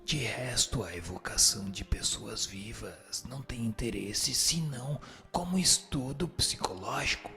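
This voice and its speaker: Gravelly, solemn spirit played by a man. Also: medium pitch, lively intonation, sharp tone, exaggerated voice. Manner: measured, formal, didactic. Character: solemn male spirit answering questions with moral authority. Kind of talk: scripted radio drama reading. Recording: music bed under the voice.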